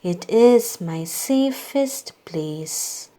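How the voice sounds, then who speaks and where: Female read-aloud storyteller for small children. Very unhurried pacing, animated intonation, scripted character dialogue. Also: lowish voice, over music. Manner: slow, deliberate delivery for young children.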